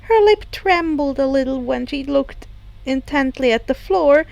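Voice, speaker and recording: A woman narrating fiction as a podfic reader, in an expressive storytelling style. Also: high voice, unhurried, gravelly.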